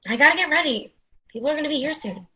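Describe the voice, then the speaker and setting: A woman's voice: high-pitched, expressive, racing, pushed and bright. A woman playing a brash, intense, foul-mouthed twenty-seven-year-old in a play reading. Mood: irritated. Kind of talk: readers theatre play reading.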